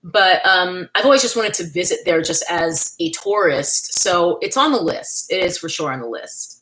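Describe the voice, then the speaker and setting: A woman's voice: on the low side, expressive, moderate pace, fairly bright tone, rough recording. A woman from New York, hosting a casual livestream, chatting candidly and thanking viewers by name as she reads their comments. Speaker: livestream host.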